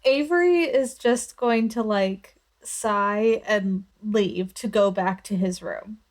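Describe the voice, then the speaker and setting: A woman's voice: mid-range voice, slow. A woman narrating her character's actions casually as a player in a tabletop roleplaying game.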